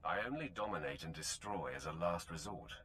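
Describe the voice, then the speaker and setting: Dark sci-fi game lord, low voice w/ big swings, bossy, dry and menacing. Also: male voice, animated, brisk, a little hiss or hum. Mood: measured. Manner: curt, commanding, dry. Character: imperious, drily menacing male Sith lord. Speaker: game protagonist. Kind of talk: video game voice acting.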